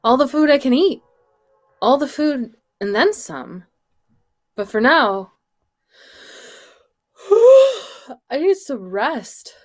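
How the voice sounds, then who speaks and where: A young woman playing a lonely, weary survivor who muses aloud haltingly in a radio drama read. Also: sits high, lively intonation, full character voice. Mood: weary, musing. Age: young adult. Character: lonely, weary, dazed survivor talking to self. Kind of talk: live table read of old radio drama.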